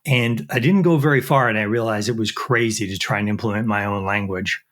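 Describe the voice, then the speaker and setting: A man's voice: on the low side, brisk, a bit bright. A middle-aged man, a chemistry professor, explaining technical work in an interview with frequent fillers and restarts.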